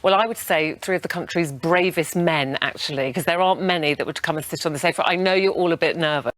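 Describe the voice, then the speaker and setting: A woman presenting a television segment, introducing guests in a steady broadcast manner. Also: sits low, quick pace, no room sound.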